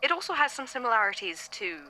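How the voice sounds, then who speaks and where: A woman playing a curious archivist who talks in a measured, musing way in a fan audio drama. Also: on the high side, very animated, sharp tone, slight background noise, full character voice, low-quality recording.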